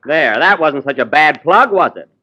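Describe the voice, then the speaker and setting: A man's voice: fairly high pitch, animated, normal speed, muffled recording, background noise. A man hosting a 1940s radio comedy show, with a vain, easily exasperated comic delivery.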